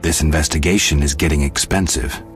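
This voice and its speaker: Gruff game monster hunter, super deep, flat and terse, faint music under him. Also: male voice, fairly quick. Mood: matter-of-fact. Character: stoic gruff male monster hunter turned investigator. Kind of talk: video game voice acting.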